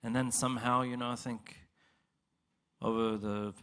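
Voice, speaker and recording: A man who sings in a vocal group, answering interview questions in a halting way and laughing between thoughts; English is not his first language. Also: mid-range voice, flat delivery, fairly bright tone, smooth.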